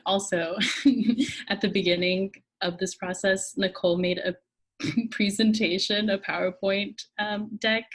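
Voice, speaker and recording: Giggly woman chatting on a panel. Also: lowish voice. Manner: giggly, laughs while talking. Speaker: theatre collaborator, panelist. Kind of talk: casual reflective chat. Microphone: video call.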